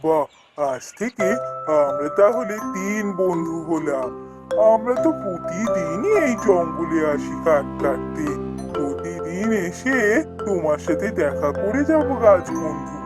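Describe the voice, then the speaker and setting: A man's voice: fairly high pitch, lively intonation, a little slow, loud music behind the voice. A man voicing a simple-hearted, trusting woodcutter in a children's fairy-tale cartoon, speaking earnestly. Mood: grateful, friendly.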